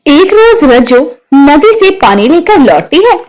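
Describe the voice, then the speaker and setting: A woman's voice: high voice, big pitch swings, a little slow, darkish tone. A woman storyteller reading scripted narration for a tale.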